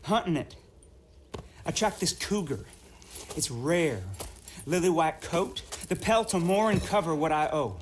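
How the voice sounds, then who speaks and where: An actor playing a desperate young debtor with a rural American accent, nervous and halting, in a video game. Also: male voice, on the high side, lively intonation, a little hiss or hum. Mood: nervous pleading. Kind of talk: dramatic video game cutscene acting.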